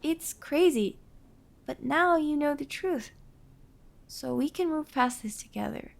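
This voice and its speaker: A woman's voice: high-pitched, lively intonation, measured pace, gravelly, heavily acted. A woman playing a nervous, earnest young girlfriend in an intimate audio roleplay, hesitant and pleading in her delivery. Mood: reassuring, tender.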